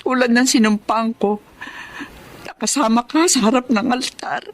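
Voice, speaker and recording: Solemn devoted wife, fairly high actress. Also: female voice, moderate pace, over loud music, lightly acted. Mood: pleading, resolute. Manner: solemn, heartfelt. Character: devoted, self-sacrificing wife telling her life story. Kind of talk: radio drama acting and narration.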